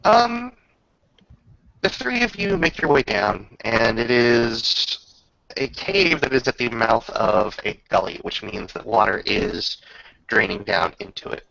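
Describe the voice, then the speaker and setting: A man works as game master, improvising scenes in a halting, stop-and-start way. His voice is heavily fried at the edges yet has a sharp, forward tone. The microphone sound is slightly muffled. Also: mid-range voice, expressive, vocal fry. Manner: halting, pausing often mid-sentence. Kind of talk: improvised narration of a tabletop game. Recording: dull lo-fi home microphone.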